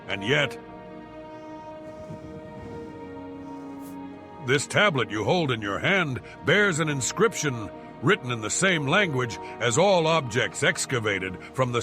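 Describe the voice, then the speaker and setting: Man acting a pompous, lively-voiced archaeologist. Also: medium pitch. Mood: astonished. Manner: haughty, verbose, formal. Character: pompous, irritable, shrewd male archaeologist. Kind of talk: video game character dialogue.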